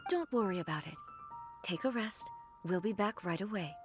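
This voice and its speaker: An actress playing a composed, regal female ruler in a video game, calm and formal. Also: medium pitch, moderate pace, music in the background, natural voice.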